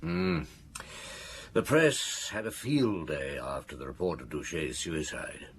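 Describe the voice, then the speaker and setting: A seasoned detective in a radio drama, low-pitched and lively in intonation. He reflects on a case with deliberate, thoughtful authority. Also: husky, heavily acted. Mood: wry, grave.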